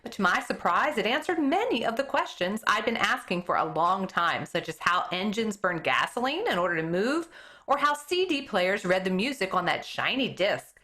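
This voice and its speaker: A woman reads a chapter book aloud to her class in a bright, ringing voice. Her pitch swoops up and down freely as she tells the story, and she sometimes trips on a word and fixes it as she goes. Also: normal speed. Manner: expressive read-aloud, occasional stumbles and self-corrections. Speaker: elementary school teacher reading aloud. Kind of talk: reading a children's book aloud.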